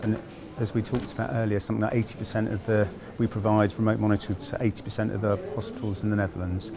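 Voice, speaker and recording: A man with a fairly low voice makes a measured case for health technology, citing figures as he goes. He speaks at a busy event, with a crowd chattering behind him. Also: little pitch movement, gravelly. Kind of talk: panel interview at an event. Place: conference event.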